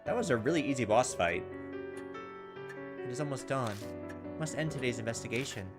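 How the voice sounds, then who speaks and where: Over clear game music, a man with a mid-pitched voice reads visual novel story text aloud. He is a let's play host who moves between narration and casual remarks. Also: a little fast. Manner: slips into acted character voices between narration. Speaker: gaming video host. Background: video game music.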